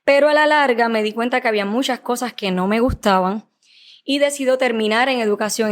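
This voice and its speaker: A woman from Puerto Rico with a Puerto Rican accent, a clinical psychologist telling her story as a podcast guest in a measured, thoughtful way. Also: on the high side. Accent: Puerto Rican Spanish. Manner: measured, thoughtful, with small fillers. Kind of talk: podcast interview, personal storytelling.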